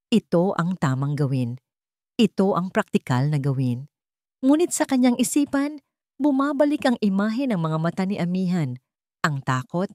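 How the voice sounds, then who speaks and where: A woman with a Filipino accent reads a scripted dramatic story in a measured storytelling manner. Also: fairly low pitch, darkish tone, no echo, clean studio sound. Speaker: story narrator. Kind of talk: scripted dramatic narration of a Tagalog love story.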